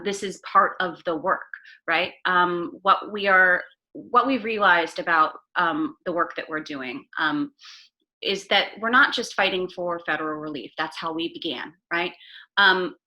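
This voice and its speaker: A woman being interviewed as an arts advocate. She talks earnestly and keeps pausing with "um" and restarting her sentences. Her voice is bright and forceful, set a little low. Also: low-quality recording. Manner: earnest, many "um" fillers and restarts. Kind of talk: casual interview chat.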